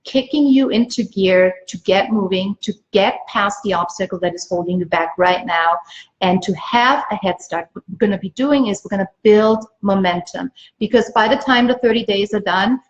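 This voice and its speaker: Woman, from Austria, native German speaker, medium pitch, motivational coach, emphatic, repeats for stress, dull livestream sound. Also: moderate pace. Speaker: business coach and livestream host. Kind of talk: motivational live sales pitch to viewers.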